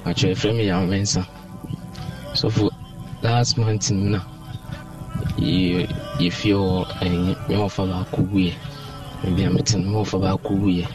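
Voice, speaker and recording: A Ghanaian man calling a radio show to give an earnest, halting testimony. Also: low-pitched, flat delivery, recorded from a distance, music in the background, low-quality recording. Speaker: caller giving a testimony.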